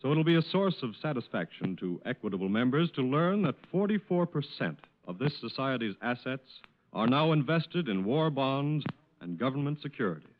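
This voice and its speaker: A man's voice: mid-range voice, big pitch swings, moderate pace. An American man announcing from a script as a 1940s radio sponsor's spokesman, formal and emphatic. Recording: dull old radio broadcast with music. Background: music.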